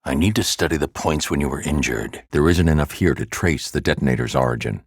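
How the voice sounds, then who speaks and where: A man voicing a grim, brooding male vigilante detective in a video game, speaking in a low, grave, clipped and methodical way. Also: brisk, breathy edge, dry recording, studio quality, exaggerated voice. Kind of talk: game voice acting. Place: recording studio. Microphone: close studio mic.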